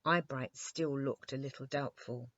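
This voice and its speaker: A woman's voice: a bass voice, fairly even intonation, a little slow, a bit bright, no room sound, muffled recording. A woman narrating a scripted children's bedtime story.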